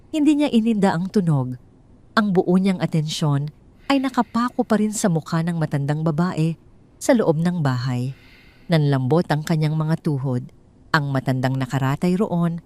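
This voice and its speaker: A Filipino woman narrates an audio drama in a somewhat low voice. Her delivery is solemn and scripted. She sets out the story in deliberate phrases, pausing briefly for dramatic weight. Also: a little mellow.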